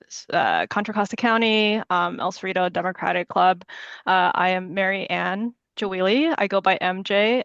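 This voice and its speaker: A woman's voice: medium pitch, a bit bright, smooth, dry recording, lo-fi sound. A woman from California in her thirties, a city council candidate giving an opening statement on a video call, hesitant with many fillers and nervous laughs. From California, USA.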